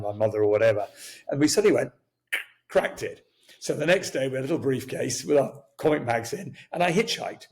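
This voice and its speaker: An older man raised in Kenya whose first language was Swahili, telling lively stories as an interview guest, chuckling and restarting sentences. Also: medium pitch, vocal fry. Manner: animated, chuckling, many restarts. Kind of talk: storytelling interview talk.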